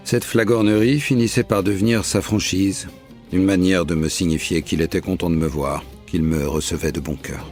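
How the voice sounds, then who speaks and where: An actor playing a proud, methodical Roman prefect narrating his investigation in measured, literary French. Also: a bass voice, darkish tone. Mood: wry recounting. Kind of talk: scripted first-person drama narration.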